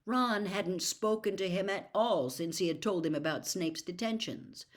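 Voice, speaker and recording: A woman reading a children's fantasy novel aloud as an expressive storybook narrator. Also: lowish voice, normal speed. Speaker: audiobook reader.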